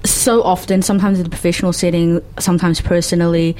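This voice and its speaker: Low-voiced thoughtful New Zealand woman. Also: little pitch movement, darkish tone. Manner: thoughtful, measured, with fillers. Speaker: podcast guest. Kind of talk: reflective conversation.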